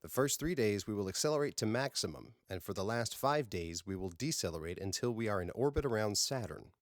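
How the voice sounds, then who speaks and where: A man voicing a seasoned starship captain with formal, measured, courteous phrasing in an audiobook. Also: on the low side, lively intonation, no echo, clean studio sound. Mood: formal, commanding.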